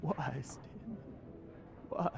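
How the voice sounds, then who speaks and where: A man voicing a boastful, nervous adventurer hiding guilt in a video game, blustering and halting. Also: a little high, huge pitch swings, noisy background, exaggerated voice. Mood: anguished, guilty.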